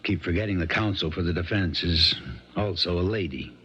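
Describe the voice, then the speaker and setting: An actor playing a folksy, testy small-town police sheriff in a 1970s radio drama, plain-spoken and emphatic. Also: male voice, low voice, brisk, fairly bright tone, a little hiss or hum. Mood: testy, insistent. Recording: muffled 1970s radio broadcast.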